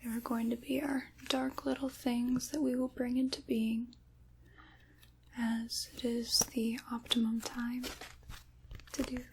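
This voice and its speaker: A woman voicing an ancient, sly dark witch in an ASMR roleplay, in a breathy whisper with deliberate, theatrical, old-fashioned phrasing. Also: fairly high pitch, flat delivery, noisy background. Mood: conspiratorial, sinister.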